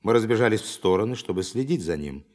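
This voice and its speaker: An actor playing an authoritative official giving a formal, emphatic briefing to scientists in a radio play. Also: male voice, on the low side, animated. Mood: measured, formal. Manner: formal, emphatic, commanding, dramatic pauses. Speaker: presenter of a demonstration.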